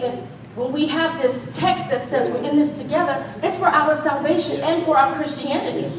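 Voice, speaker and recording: A middle-aged American woman preaching a conversational sermon in a folksy, emphatic way, addressing the congregation directly. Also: sits high. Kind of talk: animated conversational sermon with call-and-response. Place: church stage, indoors, before a congregation. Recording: echoey hall, distant mic, audience murmur.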